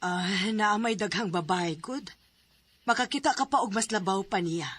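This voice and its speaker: A woman acting a practical middle-aged mother in a radio drama, husky and matter-of-fact. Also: medium pitch, moderate pace, fairly bright tone. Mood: consoling, practical. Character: practical middle-aged mother counseling her grown son.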